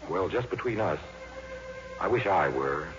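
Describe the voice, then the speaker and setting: An actor playing an earnest, bashful young teacher, halting and polite, in 1950s radio style. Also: male voice, sits low, expressive, fairly bright tone, soft background music. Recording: muffled, noisy old radio recording.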